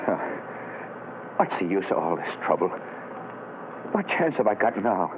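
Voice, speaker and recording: A doomed prisoner, speaks haltingly and bitterly in a lowish voice that swings widely in pitch and drags slowly. The recording is a narrow, tinny 1930s radio broadcast under clear hiss. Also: male voice, big pitch swings. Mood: despairing. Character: condemned young man awaiting execution, despairing.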